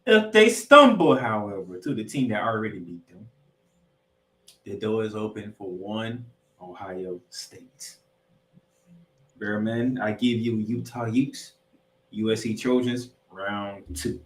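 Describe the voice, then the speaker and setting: A man's voice: lowish voice, lively intonation, takes it slowly. A man hosting a sports podcast, giving football preview commentary in an announcer-like way. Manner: announcer-like, addresses listeners as ladies and gentlemen. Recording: roomy echo, distant from mic.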